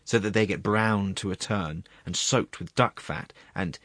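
A man's voice: on the low side, measured pace, fairly bright tone, no echo, clean studio sound. A man voicing a wheedling, gluttonous court secretary in a storybook reading, savouring his words in a confiding, coaxing way. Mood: rapturous.

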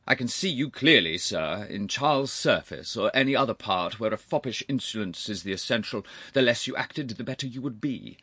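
A man's voice: medium pitch, expressive, normal speed, few pauses, bright, forceful tone, slightly rough, dry recording, pitched above the performer's own voice, exaggerated voice. A man voicing a haughty, irascible older aristocratic uncle in a storybook reading, stern and pompous, with cutting formal phrasing. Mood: acid, commanding. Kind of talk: audiobook character voice.

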